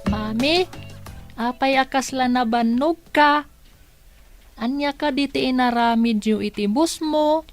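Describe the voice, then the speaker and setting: A woman's voice: high-pitched, unhurried, long pauses, faint music behind. A woman voicing a sweet, curious young child in an audio drama, innocent, polite and a little hesitant.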